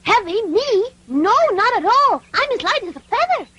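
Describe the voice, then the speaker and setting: A woman voicing an earnest, excitable wooden puppet boy in a dubbed cartoon, childlike, exclamatory and innocent. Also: extremely high pitch, normal speed, full character voice. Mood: hopeful. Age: child figure.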